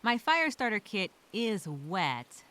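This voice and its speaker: A woman voicing a resilient, chatty female castaway telling a survival diary, expressive and talking to herself. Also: mid-range voice, slow pace, full character voice. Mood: practical, musing. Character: stranded castaway woman journaling, resilient, chatty, wryly upbeat. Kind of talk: first-person diary storytelling, acted.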